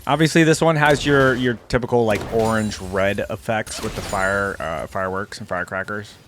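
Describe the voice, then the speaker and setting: A man's voice: fairly low pitch, normal speed, a bit bright, a little hiss or hum. A man giving casual, enthusiastic reaction commentary on game skins, thinking aloud as he goes, with game music behind him. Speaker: reaction video host. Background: game music and effects.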